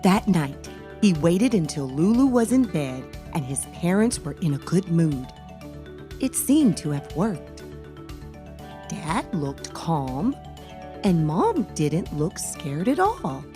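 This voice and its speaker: Animated woman reading stories to children. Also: on the low side, music in the background. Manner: animated, deliberate, addressing young listeners. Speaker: children's read-aloud show host and narrator. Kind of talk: expressive children's storybook reading.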